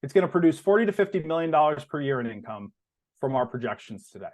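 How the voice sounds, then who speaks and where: Animated male executive, higher-pitched voice. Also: quick pace. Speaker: company executive presenting on an earnings call. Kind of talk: business presentation, explanatory.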